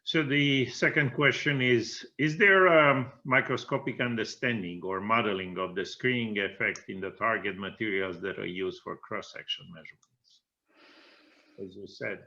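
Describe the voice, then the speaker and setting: A man's voice: on the low side, moderate pace, pushed and bright, highs cut off. A man moderating an academic session and reading out questions. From Romania.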